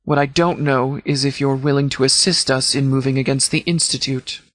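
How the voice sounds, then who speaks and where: An actor playing a stern, calculating sheriff who commands a post-apocalyptic militia in a video game, speaking in a formal, measured, authoritative way. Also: male voice, medium pitch, fairly even intonation, fairly bright tone, dry recording, lightly acted. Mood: firm, guarded. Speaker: sheriff and faction leader. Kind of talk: video game dialogue, briefing.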